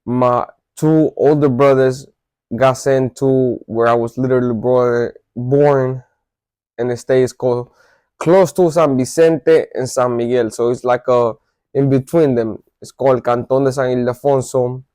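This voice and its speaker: A man's voice: mid-range voice, fairly even intonation, unhurried, warm tone, no echo. A man from El Salvador, telling his life story to his viewers in a loose, conversational way with false starts and self-corrections. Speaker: vlogger. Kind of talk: casual storytelling to camera.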